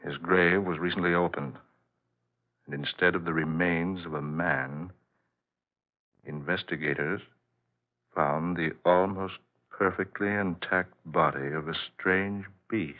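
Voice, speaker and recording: A serious husband caught up in a mystery, speaking in a deep voice with deliberate drama. The style is forties radio horror. The old recording is somewhat muffled. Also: male voice, steady tone. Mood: calm, serious. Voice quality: low-pitched voice. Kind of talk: 1940s radio horror acting.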